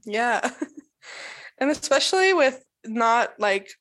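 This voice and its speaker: A woman chatting casually in an interview, talking in quick bursts full of "like" and laughing often. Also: a little high, animated, fairly bright tone. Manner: chatty, fills speech with "like". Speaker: interviewee, new employee. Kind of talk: casual conversation.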